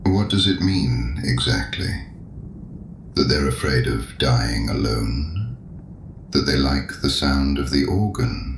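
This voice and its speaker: A man in an audio drama playing a sinister, ancient, knowing penitent, courteous and sardonic, with a very deep gravelly voice. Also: lively intonation, soft background music, heavily acted. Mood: cynical, probing. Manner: deliberate, probing, sardonic, urbane. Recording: echoey room with audible background noise.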